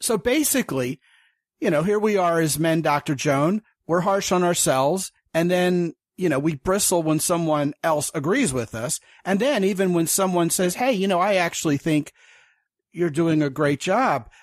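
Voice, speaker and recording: A man hosting a podcast interview, talking at length in a wordy, animated way and acting out the inner voices he describes. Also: on the high side, moderate pace, no echo, clean studio sound. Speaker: podcast host. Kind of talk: conversational interview.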